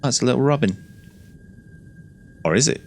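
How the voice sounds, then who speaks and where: A man's voice: medium pitch, expressive, moderate pace, darkish tone, slight background noise. A man giving casual gameplay commentary, musing aloud and reacting to what is on screen. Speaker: gameplay streamer.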